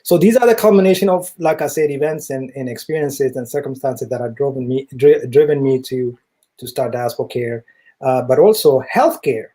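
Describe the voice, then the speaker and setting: Mellow East African man, earnest interview guest. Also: medium pitch, normal speed. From East Africa, Ethiopia and Kenya. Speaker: interview guest, tech entrepreneur. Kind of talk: explanatory interview talk.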